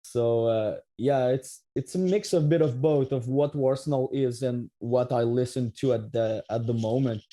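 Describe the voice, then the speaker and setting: A man in his thirties from Quebec, speaking English with a French accent, answers interview questions in a loose, rambling way. His voice is very dark and mellow, sitting at a middling pitch. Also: moderate pace, few pauses. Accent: Québécois French. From Quebec, Canada. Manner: rambling, many restarts and fillers. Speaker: metal band musician, guest.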